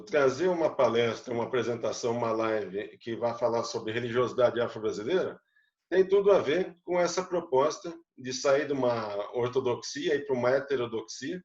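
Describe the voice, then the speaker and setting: A man's voice: mid-range voice, darkish tone, reverberant, highs cut off. A man, a psychologist, giving an explanatory live talk to an online audience and putting rhetorical questions to them. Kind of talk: lecture-style live talk.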